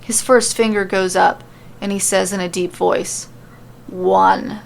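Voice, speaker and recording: Creaky-voiced woman reading a story, noisy recording. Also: mid-range voice, fairly even intonation, moderate pace. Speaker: narrator and host of a fan-fiction podcast. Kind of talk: expressive story narration and casual asides.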